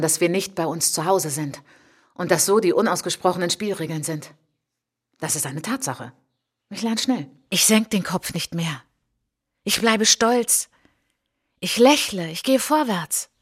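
Eine Schauspielerin spielt in einem literarischen Hörspielmonolog eine stolze, verletzte junge Französin, die nachdenklich, eindringlich und bedacht von sich erzählt. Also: female voice, fairly low pitch, husky. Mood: proud, defiant. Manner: intense, deliberate, sometimes dropping to a whisper. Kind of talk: literary radio drama monologue.

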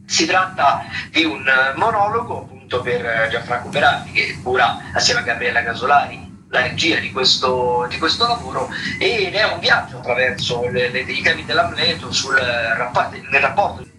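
A man giving an informal radio rundown as a theatre critic, halting and digressive, rephrasing as he goes. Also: medium pitch, expressive, noisy background. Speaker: radio theatre critic reviewing and listing shows. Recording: distant radio mic with music behind. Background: music.

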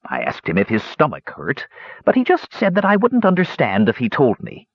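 A man's voice: mid-range voice, wildly swooping pitch, normal speed, no echo, highs cut off, voice pushed up. A man voicing a grumpy, battle-weary old dwarf hermit in a fantasy audiobook, with coarse, growling, folksy rustic speech. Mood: rambling, folksy.

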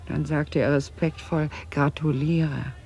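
An actress playing a haunted middle-aged woman in a German radio drama, confiding grave family guilt in a halting, husky voice. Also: low-pitched, level tone, a little mellow, a little hiss or hum. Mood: bitter. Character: haunted Dutch woman confessing her family's wartime guilt. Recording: slightly dull 1980s radio recording.

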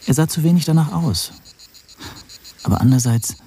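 An actor playing a wry, scheming amateur sleuth who narrates his own story in a measured, literary style. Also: a little high, mellow, breathy edge. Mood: plotting, wry.

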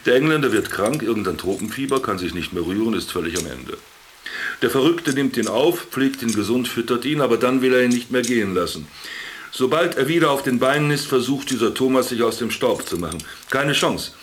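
A man's voice: medium pitch, animated, a little fast, slight background noise, close to an everyday voice. An actor playing a wry, cynical stranded businessman in a radio drama, ironic and patronizing as he talks. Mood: storytelling. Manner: ironic, patronizing, addresses the other formally. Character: Philipp, stranded ex-businessman, wry, garrulous, cynical camp companion.

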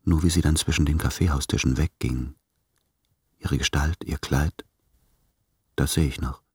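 A man's voice: rumbling low, fairly even intonation, moderate pace, a little mellow, breathy edge, heavily acted. A man playing a courteous, self-doubting, tender writer, reading his letters in a formal, hesitant, introspective way for a radio play. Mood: brooding.

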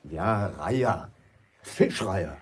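A man playing a grumpy, secretive farm worker in a radio play, speaking in gruff, impatient, curt phrases. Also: sits low, animated, slow, exaggerated voice. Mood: irritated, evasive. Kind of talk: naturalistic radio drama dialogue.

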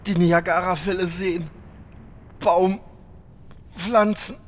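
A man's voice: high-pitched, fairly even intonation, slow. A man playing a melodramatic dying sentimental father-to-be in a parody audio drama, lamenting theatrically. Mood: tragic lament.

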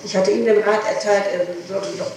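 A woman answering questions in an interrogation, haltingly, often repeating and breaking off her phrases, on an old tape recording. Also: medium pitch, fairly even intonation, a little mellow. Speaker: person being questioned by police. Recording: distant, echoey, noisy 1960s tape recording.

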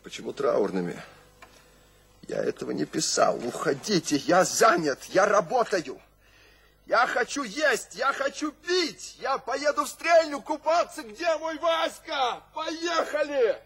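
An actor playing an ailing, tormented, restless poet with a husky voice in a Soviet radio drama. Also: male voice, very high voice, very animated, unhurried, a bit bright, exaggerated voice. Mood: delirious, agitated.

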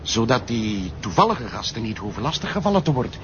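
An actor as a tactful police sergeant, medium-pitched. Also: male voice, big pitch swings, fast, close to an everyday voice. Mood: calm, careful. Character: calm, tactful male police sergeant, loyal sidekick. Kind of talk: Dutch-language radio drama acting. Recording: dull lo-fi audio with faint noise.

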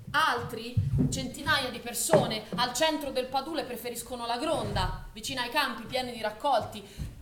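A woman's voice: on the high side, natural voice. An actress playing a woman witness to wartime hardship, narrating in an urgent theatrical radio-play style. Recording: roomy stage recording with faint noise.

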